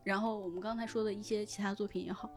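A woman speaking as a podcast guest in casual chat, explaining things in an animated way with frequent check-in questions. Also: medium pitch, quick pace, soft background music. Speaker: guest, novel recommender and editor.

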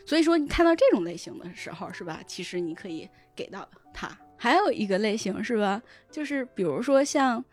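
A woman speaking as a podcast guest in casual chat, explaining things in an animated way with frequent check-in questions. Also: medium pitch, fairly quick, few pauses, soft background music. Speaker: guest, novel recommender and editor.

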